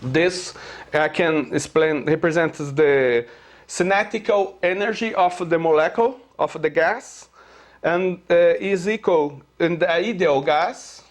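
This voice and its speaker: A man from Brazil with a Brazilian Portuguese accent, explaining his physics theory in slow, hesitant English with many filler sounds and pauses. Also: a little high. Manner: earnest, halting non-native phrasing. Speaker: self-taught theorist presenting his theory.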